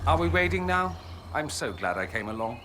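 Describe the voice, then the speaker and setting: An actor playing an urbane gentleman mage with dry wit and polished, sardonic delivery in video game banter. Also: fairly high pitch, big pitch swings, fairly quick, a little hiss or hum. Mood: wry, sardonic.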